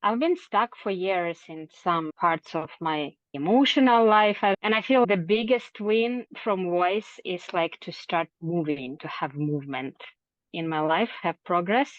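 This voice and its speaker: A woman's voice: on the low side, a little slow, no echo. A woman giving a heartfelt personal testimonial as a group member, recorded in lo-fi audio.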